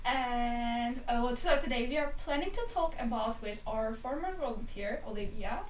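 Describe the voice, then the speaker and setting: Higher-voiced young woman hosting in echoey room. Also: moderate pace. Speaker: podcast co-host. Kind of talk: casual hosting with questions. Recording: echoey room, distant mic, faint noise.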